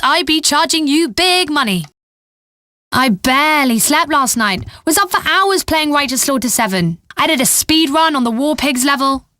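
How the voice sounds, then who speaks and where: Young British woman pop DJ, game radio, high-pitched, very animated delivery, cheeky, breezy, mockingly bubbly. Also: no room sound, clean studio sound. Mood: breezy, wry. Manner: breezy, sardonic, playful. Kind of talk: scripted pop radio DJ patter.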